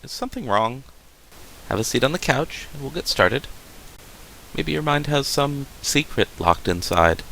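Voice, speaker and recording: An actor playing a calm, probing male doctor in a video game, measured and questioning as he counsels a patient. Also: medium pitch, gravelly, exaggerated voice, low-quality recording. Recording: faint steady hiss.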